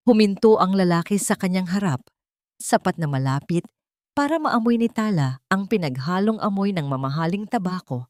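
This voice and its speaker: A woman narrates a scripted love drama audiobook. Her pitch is low for a woman, and her delivery is dramatic storytelling. The recording is dry, with no room sound. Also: darkish tone, no room sound.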